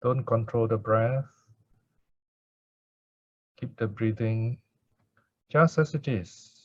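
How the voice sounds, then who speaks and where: A man speaking as a Buddhist meditation teacher, in gentle, unhurried devotional chanting or guided instruction with pauses. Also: medium pitch, no echo. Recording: dull lo-fi recording.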